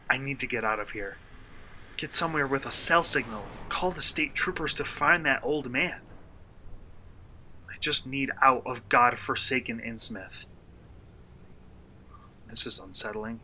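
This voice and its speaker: A man's voice: mid-range voice, bright, forceful tone, slight background noise, poor audio. An actor playing a brash, uneasy young male vlogger in a radio play, talking in a slangy, sarcastic, theatrical way. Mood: uneasy, desperate. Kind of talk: radio play acting, first-person monologue.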